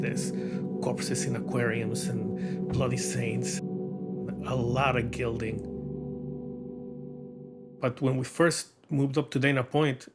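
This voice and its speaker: Creaky-voiced man reflecting in conversation. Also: mid-range voice. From Mexico. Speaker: interviewed artist.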